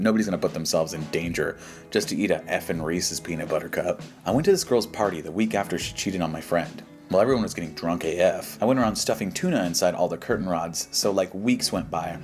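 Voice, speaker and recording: A man giving casual, slangy, skeptical commentary and reading posts aloud in a joking way. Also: low voice. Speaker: host of a reaction show. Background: background music.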